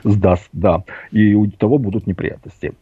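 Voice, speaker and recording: A man's voice: on the low side, steady tone, normal speed, dark tone, breathy edge, dry recording. A man telling anecdotes as a guest on a talk show, heard over a phone line.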